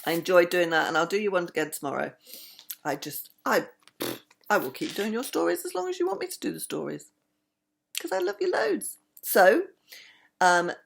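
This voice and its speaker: An older woman, a grandmother, reading a bedtime story in an animated, affectionate way. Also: on the low side, gravelly.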